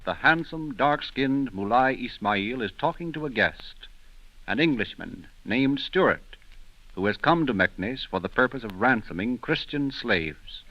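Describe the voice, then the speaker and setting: A man's voice: mid-range voice, expressive, a little slow, a little hiss or hum. A man narrating a 1930s radio programme in an emphatic, dramatic, scripted announcer style, on an old recording. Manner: declamatory, emphatic. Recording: dull 1930s radio recording, music behind.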